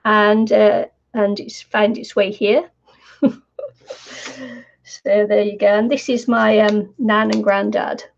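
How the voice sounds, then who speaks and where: Older woman craft host, croaky and gravelly, rambling and friendly, lo-fi live stream sound. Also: mid-range voice, little pitch movement, slow pace, long pauses. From New Zealand. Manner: chatty, laughs often, many fillers. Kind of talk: casual live stream chat. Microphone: computer mic on live stream. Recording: dull lo-fi stream audio.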